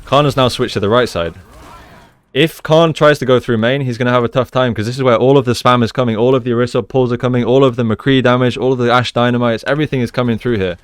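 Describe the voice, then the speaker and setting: A man's voice: mid-range voice, steady tone, quick pace, clean tone, dry recording. A man giving conversational, explanatory commentary on video game play, as a coach breaking down each move. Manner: explanatory, conversational, often checks with "right?". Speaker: gaming coach, analyst.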